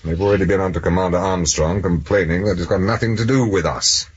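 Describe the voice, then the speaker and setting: A man's voice: low-pitched, quick pace, a little hiss or hum, natural voice. A man with an English accent acting in a 1970s radio drama as a sardonic, authoritative police superintendent. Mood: sardonic, brisk. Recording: dull, muffled old radio recording.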